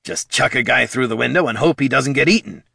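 A man's voice: medium pitch, a little fast, vocal fry, bright, forceful tone, breathy edge, no echo, studio quality, voice pushed up, exaggerated voice. A man voicing a small, scrappy male kobold who rambles nervously and talks himself in circles. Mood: grumbling.

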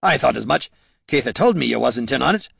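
A man voicing a tough, shrewd male dwarf in an audiobook, folksy and rough-spoken. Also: medium pitch, lively intonation, brisk, a bit bright, no echo, clean studio sound, exaggerated voice. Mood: cheerful. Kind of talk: audiobook character dialogue.

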